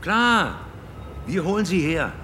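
Hard taunting cop in a radio play, highish lively voice, shouting over street noise. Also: male voice, slow pace, heavily acted. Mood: falsely coaxing. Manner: calling out, mocking. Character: hard, taunting policeman coaxing a fugitive off a ledge. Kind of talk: radio drama acting. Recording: calling from off, street noise behind.

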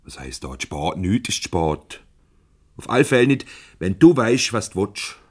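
Low-voiced, teasing Swiss German boss. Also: male voice, big pitch swings, moderate pace. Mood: insistent, teasing. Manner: probing, wry, avuncular. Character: shrewd, teasing boss mediating a couple's quarrel. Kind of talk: 1970s radio comedy acting in dialect.

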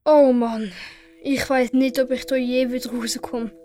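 A woman's voice: high voice, steady tone, a little slow, warm tone. A woman playing an indignant, stubborn young schoolboy in a children's audio story. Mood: subdued, worried. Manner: defiant, childlike.

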